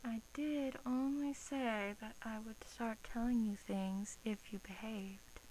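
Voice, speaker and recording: A woman voicing a smug, menacing female vampire in a soft-spoken ASMR roleplay, teasing and slipping into whispers. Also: on the high side, steady tone, slow, a little hiss or hum, rough recording. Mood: coy, secretive.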